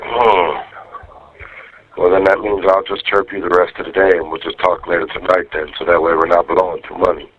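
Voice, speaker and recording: A man's voice: deep voice, little pitch movement, a little fast, a crowd in the background. A man talking casually in slangy, coaxing phrases over a recorded jail phone line, as an inmate calling out. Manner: slangy, profane, cajoling. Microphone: telephone.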